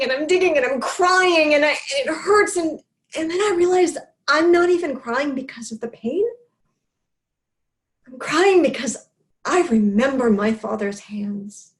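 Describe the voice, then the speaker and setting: An actress playing a grieving woman in recovery from alcoholism, delivering a confessional stage monologue in a halting, emotional way. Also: medium pitch, natural voice. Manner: halting, emotional delivery with pauses and repeated words. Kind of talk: dramatic stage monologue, first-person confession.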